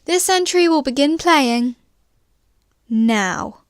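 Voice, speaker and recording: A woman playing a spaceship's systems voice in an audio drama, measured and formal. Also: high voice, lively intonation. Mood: detached. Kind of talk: scripted audio drama narration.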